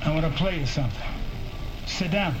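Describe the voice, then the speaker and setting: Casting: male actor for overbearing army dad in radio drama, slightly high-set voice, grimly insistent, heavy music beneath. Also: fairly quick, close to an everyday voice, low-quality recording. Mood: coaxing menace. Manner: coercive, commanding, grimly apologetic. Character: menacing, domineering military father pressing his son. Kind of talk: audio fiction drama acting. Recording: loud dramatic music under voice.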